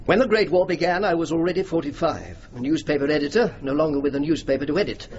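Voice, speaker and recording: Dignified middle-aged major general played by an actor. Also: male voice, on the high side, fast talker, a little mellow, lightly acted. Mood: reflective. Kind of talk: formal speech and reminiscence in radio drama. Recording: dull, faintly noisy radio recording.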